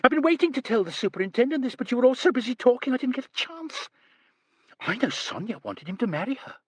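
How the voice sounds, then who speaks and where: A man voicing a nervous, gossipy young woman who squeaks, stammers and rushes her words. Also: big pitch swings, slightly rough, no room sound, exaggerated voice. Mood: excited gossip. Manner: breathless, stammering, rushing.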